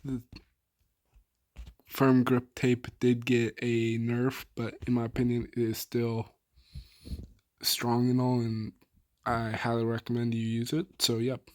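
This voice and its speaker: A young man with a hoarse, tired voice, casually running through his favorite weapon builds with lots of fillers and trailing sentences. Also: medium pitch, completely flat delivery, takes it slowly, long pauses, darkish tone, dry recording. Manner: laid-back, filler-heavy, trailing off. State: hoarse, soft on mic. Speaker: gaming YouTuber.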